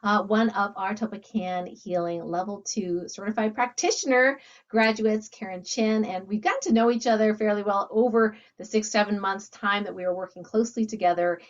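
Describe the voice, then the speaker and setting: A woman hosting an interview, speaking enthusiastically and filling pauses with uhs. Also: mid-range voice, muffled recording. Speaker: host, academy founder.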